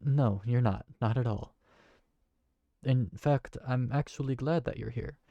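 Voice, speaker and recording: A man's voice: medium pitch, measured pace, mellow, no room sound, lo-fi sound, natural voice. A man voicing a kind, awkward tavern keeper who talks in a hesitant, friendly way. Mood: reassuring. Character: kind, awkward young male tavern keeper named Briar. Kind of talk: fantasy audio roleplay.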